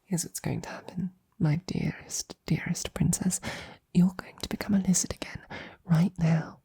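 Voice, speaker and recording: A woman playing a loving, flustered lady knight in an intimate roleplay audio drama, speaking softly and haltingly with tender, teasing affection. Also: on the low side, steady tone, whispered. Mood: fond, decisive. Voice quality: soft, breathy, husky. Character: loving, flustered female royal knight courting a princess.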